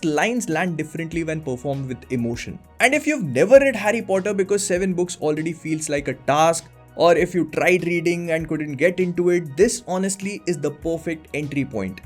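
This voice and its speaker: A young man hosting a vlog, eagerly recommending something in an emphatic storytelling way. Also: a little high. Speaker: channel host. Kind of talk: sponsored recommendation monologue.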